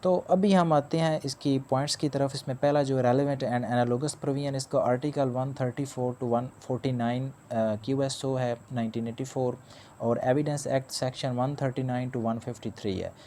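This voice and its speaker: A man lectures on law in a dark, mellow voice. He talks at a middling pitch and builds each point with patient, repetitive explanation. English legal terms run through his speech. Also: steady tone, normal speed, slight background noise. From Pakistan.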